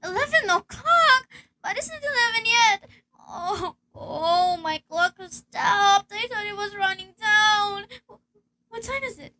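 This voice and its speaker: Radio play role: frantic housebound wife, played by a woman, extremely high bright voice, stammering and demanding, noisy hobby recording. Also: expressive, measured pace, exaggerated voice. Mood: upset, anxious. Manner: urgent, stammering, pleading. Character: frantic, nervous, demanding invalid wife home alone. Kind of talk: amateur school radio play acting.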